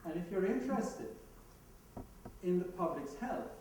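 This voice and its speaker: A man's voice: sits high, very animated, measured pace, a little mellow. Jamaican man speaking in an echoey hall. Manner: conversational, laughs at his own asides. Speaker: conference speaker. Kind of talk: conference talk. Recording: echoey hall, mic heard from a distance, background noise.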